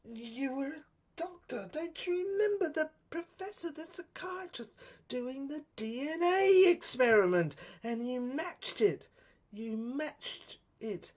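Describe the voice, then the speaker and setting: A woman voicing an insistent, mystical spirit guide in an audio play, emphatic and halting as she reveals secrets. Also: sits high, lively intonation, a little mellow, pitched above the performer's own voice. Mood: insistent, urgent.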